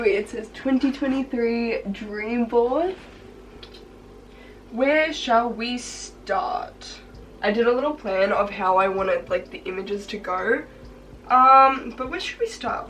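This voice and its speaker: A teenage girl chats brightly while crafting, her fairly high voice a little hoarse and congested. She rambles cheerfully with plenty of "like"s. She sits a bit back from the mic, with faint room noise underneath. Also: recorded from a distance, rough recording. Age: teens. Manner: chatty, upbeat, many "like" fillers. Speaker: vlogger. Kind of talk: casual chat while crafting. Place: bedroom, sitting on her bed.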